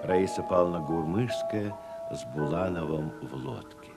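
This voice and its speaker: A man's voice: low-pitched, expressive, unhurried, creaky. A man narrating stage directions in a scripted radio play. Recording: 1970s radio play, loud music behind.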